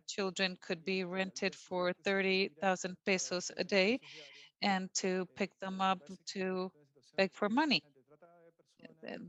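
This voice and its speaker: Slow-paced woman interpreting live. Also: medium pitch, a bit bright. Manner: measured, with pauses and restarts. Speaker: simultaneous interpreter. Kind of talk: live interpretation of closing remarks.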